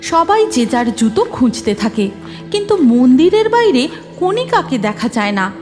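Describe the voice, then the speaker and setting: A woman's voice: sits high, big pitch swings, normal speed, darkish tone, soft background music. A woman narrating a cartoon story from a script.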